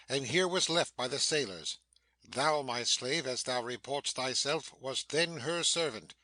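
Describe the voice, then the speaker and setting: Man playing imperious sorcerer Prospero, bright forceful voice. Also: fairly high pitch, no room sound, rough recording. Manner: declamatory, imperious. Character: Prospero, stern, commanding sorcerer master, imperious and quick to scold. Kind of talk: dramatic reading of Shakespeare.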